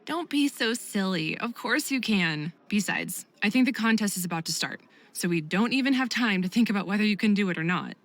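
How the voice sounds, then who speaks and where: A woman voicing a supportive, reassuring friend in a visual novel game, encouraging and gently chiding. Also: medium pitch, lively intonation, a little fast, hardly any pauses. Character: supportive, reassuring female friend of young skater.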